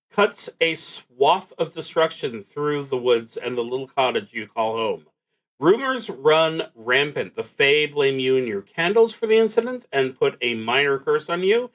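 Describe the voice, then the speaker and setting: Slow, unhurried man thinking aloud on stream. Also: fairly high pitch, big pitch swings, fairly bright tone, low-quality recording. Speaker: streamer playing a solo tabletop roleplaying game. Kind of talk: live game stream, thinking aloud and reading prompts.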